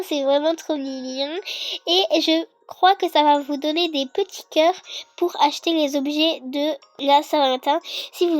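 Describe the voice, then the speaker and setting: A young girl with a congested, sick voice, casually explaining a video game in a hesitant, rambling way. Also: high voice. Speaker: gaming video creator. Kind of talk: casual game tutorial commentary.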